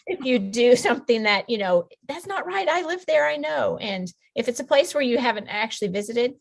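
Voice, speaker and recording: A woman's voice: a little high, expressive, brisk, vocal fry. A woman, a novelist, chatting casually as a guest on a podcast. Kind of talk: casual chat.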